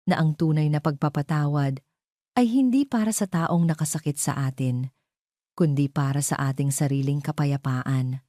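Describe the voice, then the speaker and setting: Female storyteller for scripted romantic drama, deep register, mellow tone, dry sound. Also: no echo. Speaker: storyteller, narrator.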